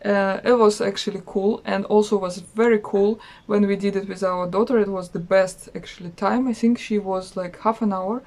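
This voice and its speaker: A woman in her thirties with a Russian accent, chatting casually about a trip in a travel vlog with many pauses and fillers. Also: medium pitch, fairly even intonation, darkish tone. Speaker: travel vlogger.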